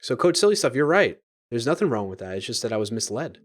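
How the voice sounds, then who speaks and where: A man with an ordinary mid-range voice talks casually through a live coding session. He muses out loud, trails off and restarts his sentences, and answers viewers as he goes. Soft music plays faintly underneath. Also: medium pitch, sing-song, quick pace. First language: English. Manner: casual, thinks aloud, frequent fillers and trailing restarts. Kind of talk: live coding stream chat, reading viewer comments aloud.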